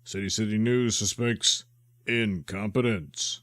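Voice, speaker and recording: A man's voice: on the low side, steady tone, slow, fairly bright tone, dry recording, studio quality. A man playing an earnest, formal local news anchor and reporter in a parody newscast. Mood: solemn.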